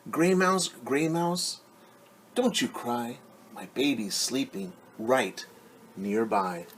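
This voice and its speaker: A man reading a picture book aloud to young children as a teacher, with sing-song refrains and hushed, whispered asides. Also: mid-range voice, slow pace, a little hiss or hum.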